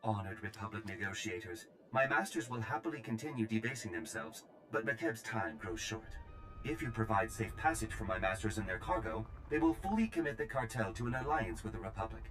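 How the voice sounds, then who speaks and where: Man acting as obsequious formal go-between in a game, lowish voice, music playing behind. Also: brisk, a bit bright, lightly acted, rough recording. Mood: pleading, deferential. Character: deferential, formal male envoy serving crime lords.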